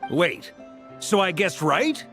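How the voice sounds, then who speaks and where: A man's voice: high voice, big pitch swings, slow, creaky, music in the background, heavily acted. An actor playing an easygoing, confident male adventurer in a fantasy game, with a casual, breezy delivery. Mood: eager. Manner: casual, breezy, self-assured. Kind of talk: video game voice acting.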